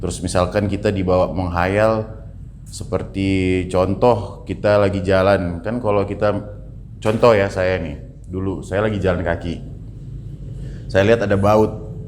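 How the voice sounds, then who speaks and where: A man's voice: sits low, steady tone, a little slow, darkish tone, slight background noise. A man of twenty-nine, giving a casual personal account and explaining by repeating phrases. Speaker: interview guest.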